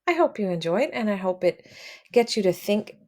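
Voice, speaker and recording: A woman reads a children's picture book aloud in a mid-pitched voice with a very animated, swinging intonation. She is expressive and speaks straight to her young listeners as a storyteller would. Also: moderate pace. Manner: expressive, addresses children directly.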